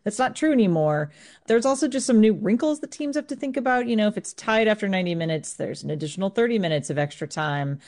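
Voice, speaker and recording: A woman's voice: medium pitch, animated, fast, a little mellow. An American woman working as a sports correspondent, explaining things informally in a conversational live radio report.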